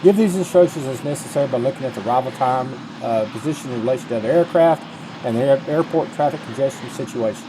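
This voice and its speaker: A man's voice: medium pitch, quick pace, noisy background. A middle-aged man, casually commentating on gameplay and reading instructions aloud. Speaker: gaming video host. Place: indoors at a computer, webcam.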